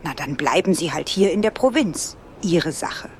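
A woman's voice: lowish voice, lively intonation, moderate pace, a little hiss or hum. An actress playing a sardonic, prickly woman traveller in an audio drama, sarcastic and dismissive in manner. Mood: irritated. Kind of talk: German audio drama dialogue.

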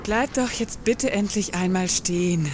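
A woman's voice: mid-range voice, measured pace, natural voice. A woman playing a bored, spoiled bourgeois wife in a satirical radio play, with affected, dryly ironic, wordy delivery. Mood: exasperated. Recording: noisy background ambience.

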